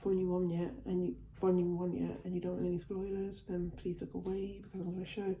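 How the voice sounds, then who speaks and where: Woman doing a haul vid at home, really dark mellow voice, still getting over a cold, bit echoey. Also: fairly low pitch, monotone, a little fast, a little hiss or hum. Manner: chatty, repeats and restarts phrases. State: recovering from a cold. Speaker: vlogger. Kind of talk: casual haul video. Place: at home, indoors.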